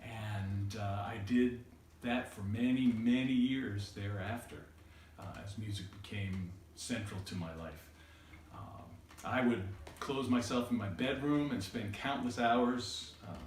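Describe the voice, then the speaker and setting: A singer-songwriter man casually introducing his song in a halting, reminiscing way. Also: lowish voice, roomy sound, far from the mic, a little hiss or hum.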